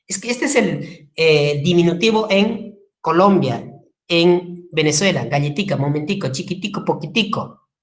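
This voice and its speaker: Peruvian woman teacher, low voice, lively lecture delivery with acted-out quotes. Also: moderate pace. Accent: Peruvian Spanish. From Peru. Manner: lively, acts out quotes, asks the class questions. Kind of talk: classroom lecture.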